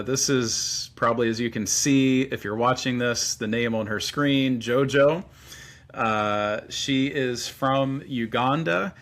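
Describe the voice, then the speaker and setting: A man hosting a ministry podcast, introducing a guest in an earnest, halting way with many fillers. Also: mid-range voice, a bit bright. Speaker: podcast host.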